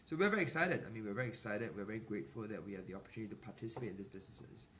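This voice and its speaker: A man in his forties from Singapore, a business executive answering podcast interview questions in a measured way with many fillers and restarts. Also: lowish voice, a bit bright. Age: 40s. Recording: distant mic with faint ambient noise.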